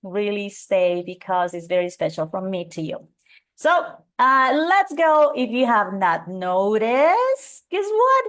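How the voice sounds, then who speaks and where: A middle-aged woman from Colombia with a Colombian Spanish accent, presenting an energetic live webinar and calling out audience members by name. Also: mid-range voice, takes it slowly. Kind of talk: live webinar coaching talk.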